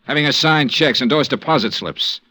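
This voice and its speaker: A man's voice: medium pitch, a little fast, pushed and bright, no room sound. American male, tough, sardonic radio gumshoe; mid-range voice, wry and clipped. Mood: hard, blunt. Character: hard-boiled, sardonic male freelance insurance investigator. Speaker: star and narrator of a radio detective drama. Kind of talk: 1950s radio drama acting. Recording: old radio recording, music behind.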